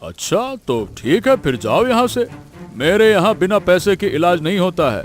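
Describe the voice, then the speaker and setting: A man's voice: high voice, sing-song, normal speed, faint music behind. A man voicing a callous, greedy village healer in a Hindi cartoon story, drawling and hemming with a dismissive sneer. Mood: scornful.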